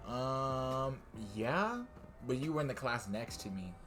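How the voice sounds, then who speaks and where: A man's voice: medium pitch, expressive, slow pace, music in the background. A young man chatting informally as a podcast guest, with a slangy way of talking. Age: young adult. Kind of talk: casual chat.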